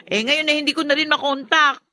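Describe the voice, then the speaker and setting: High, bright-voiced Filipino younger sister. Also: female voice, steady tone, a little fast. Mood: concerned. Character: frightened, exasperated younger sister. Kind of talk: Filipino radio drama acting.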